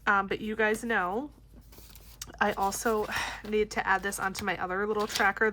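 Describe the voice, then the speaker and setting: A woman's voice: mid-range voice, fairly bright tone, a little hiss or hum. A woman in her thirties with a stuffy nose, chatting casually to camera and counting cash aloud. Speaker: budgeting vlogger.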